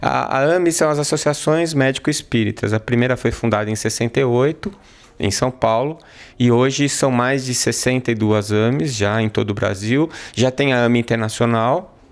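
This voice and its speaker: Thoughtful male physician explaining. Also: medium pitch. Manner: explanatory, thoughtful, filler pauses. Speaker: physician guest. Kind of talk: interview answers.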